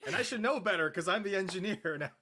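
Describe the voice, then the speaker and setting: A man's voice: sits high, animated, quick pace, pushed and bright. A man from Canada chatting casually as a panelist in an online group conversation. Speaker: panelist and engineer. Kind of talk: casual chat.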